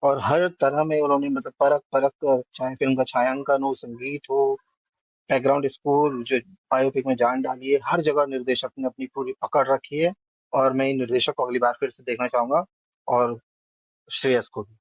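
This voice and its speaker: A man speaks as a film review panelist, working through his assessment point by point. His voice sits at a middle pitch, and the recording is dry and slightly dull, with little room sound. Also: little pitch movement, normal speed, no room sound.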